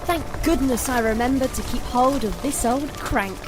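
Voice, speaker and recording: A man's voice: very high voice, normal speed. A man playing a cruel, gloating brother in an audio drama, taunting in a theatrical sing-song over music.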